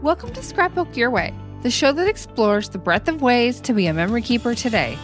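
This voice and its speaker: A woman's voice: on the high side, big pitch swings, brisk, faint music behind. A woman hosting a podcast, reading a polished intro and then asking interview questions in an upbeat way. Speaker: podcast host.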